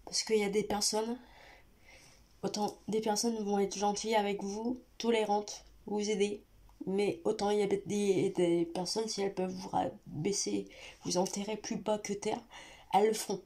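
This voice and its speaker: Flat-voiced woman with a stuffy cold. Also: mid-range voice, normal speed. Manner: hesitant, many fillers and restarts, speaks straight to viewers. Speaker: vlogger. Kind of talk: personal confessional vlog talk.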